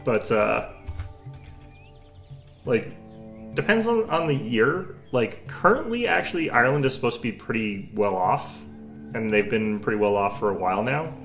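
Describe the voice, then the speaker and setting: A man's voice: mid-range voice, lively intonation, moderate pace, pauses often, a bit bright, faint music behind. A young man streaming, chatting casually with his viewers in a rambling way, full of fillers and restarts.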